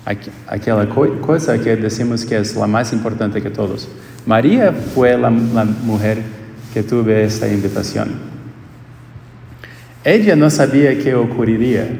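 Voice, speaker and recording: A priest with a Portuguese accent delivers a homily in a church. His phrasing is slow and searching, and he often restarts a word. The voice rings through a reverberant hall, with faint room ambience behind it. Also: medium pitch, creaky, a little mellow, slight background noise. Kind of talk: homily at a quinceañera Mass. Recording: echoey church with long reverb.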